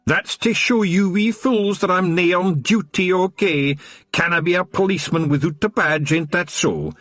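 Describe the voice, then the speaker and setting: A man's voice: high-pitched, moderate pace, a bit bright, no echo, soft background music, pitched above the performer's own voice. A man voicing a tiny Scottish fairy watchman, stubborn, earnest and easily indignant. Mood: gruff.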